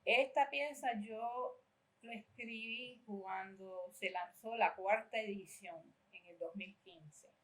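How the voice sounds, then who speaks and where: An older woman from Puerto Rico giving a keynote talk in a university amphitheater, reading her own prose in a measured, lyrical way and switching between Spanish and English. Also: medium pitch, fairly bright tone, rough recording.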